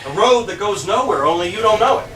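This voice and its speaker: A man's voice: high-pitched, lively intonation, fast talker. A man acting a terse, uneasy character who waits, in clipped live stage dialogue. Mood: insistent. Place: small indoor stage. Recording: echoey noisy small venue, distant mic.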